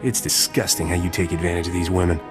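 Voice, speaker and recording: A man's voice: sits low, fast talker, close to an everyday voice. A man voicing a cocky, blunt smuggler captain in a video game, with a sardonic manner. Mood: scornful. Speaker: game protagonist actor. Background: game music.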